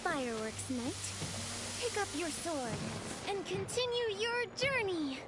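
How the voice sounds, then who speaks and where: A woman playing a kind, resolute young heroine in a video game, with a gentle, sincere manner. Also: very high voice, big pitch swings, slow pace, heavy background noise, full character voice. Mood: playful. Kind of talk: video game cutscene acting.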